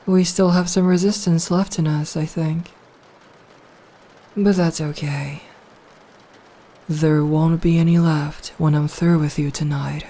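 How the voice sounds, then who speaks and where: A woman playing a seductive, possessive female vampire in an intimate ASMR roleplay, speaking softly and breathily in a hushed, teasing, coaxing way. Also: low-pitched, steady tone, slow, pauses often, heavily acted. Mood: soothing, possessive.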